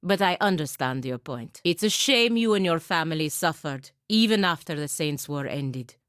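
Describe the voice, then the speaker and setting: A woman's voice: low-pitched, animated, normal speed, a bit bright, clear, resonant tone, no room sound. An actress playing a stern, dutiful and proud paladin woman in a video game, with a dry, sardonic and commanding manner. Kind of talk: video game character dialogue.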